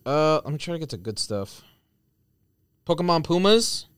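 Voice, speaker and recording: A man's voice: on the high side, big pitch swings, a little slow, clean tone, dry recording, clean studio sound. A man co-hosting a casual podcast, speaking tersely as he reads out list items and tosses in short remarks. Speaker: podcast co-host reading out a release list. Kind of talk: casual banter and list reading. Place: podcast studio.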